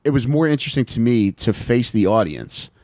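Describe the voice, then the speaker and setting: An American man co-hosting a podcast, chatting casually and comedically with animated, sweary storytelling into a close handheld mic. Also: mid-range voice, brisk, dry recording. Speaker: podcast co-host. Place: small indoor podcast room with couches. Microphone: handheld microphone held close.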